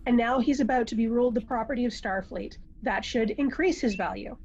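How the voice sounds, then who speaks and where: A woman reading the part of a calm, wise confidante in a table read, measured, unhurried and gently pointed. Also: on the high side, slightly rough. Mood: knowing. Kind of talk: amateur script table read. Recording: dull, muffled lo-fi microphone sound.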